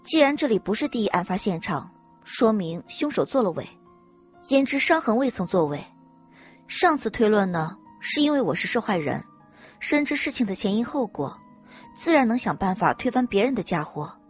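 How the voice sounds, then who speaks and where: A woman's voice: medium pitch, moderate pace, faint music behind, close to an everyday voice. Cold, level-voiced young noblewoman voiced by a woman. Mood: cold, curt. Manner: flat, level, unyielding. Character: cold, composed young noblewoman forensic examiner.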